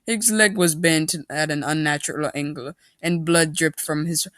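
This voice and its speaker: A man's voice: a little high, steady tone, moderate pace, fairly bright tone, no room sound, studio quality. A man doing a mocking amateur reading of a story, with sarcastic asides and an exaggerated delivery.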